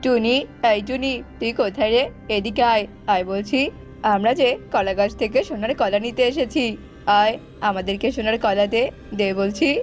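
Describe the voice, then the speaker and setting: Woman putting on a lowered, greedy bully bird. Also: a little slow, lo-fi sound, soft background music, close to an everyday voice. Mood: threatening. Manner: demanding, menacing. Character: greedy bullying forest bird, envious and threatening. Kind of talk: children's cartoon voice acting.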